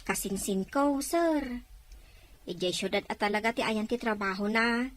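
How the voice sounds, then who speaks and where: Polite farm employee voiced by a woman, slightly high pitch, hopeful manner, audio-drama dialogue. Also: moderate pace, close to an everyday voice. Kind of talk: Filipino radio-drama dialogue in Ilocano.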